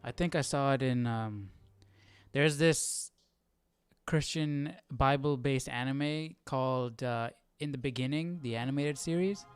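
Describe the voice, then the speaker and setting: Male livestream study host, clear mid-pitched voice, casual and musing, with searching questions. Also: a little slow, dry recording, soft background music. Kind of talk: casual, thinking-aloud chat.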